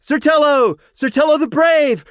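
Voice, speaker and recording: Man as elated forest animals, high chanting cheers. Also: unhurried, dry recording, clean studio sound. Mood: elated cheering. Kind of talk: children's fantasy audiobook character voice.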